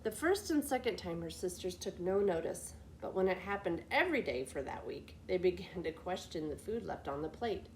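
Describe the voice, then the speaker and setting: A woman reading a children's fairy tale as a storyteller, with expressive scripted narration. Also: on the low side, normal speed, few pauses, slight background noise, low-quality recording. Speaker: library storyteller.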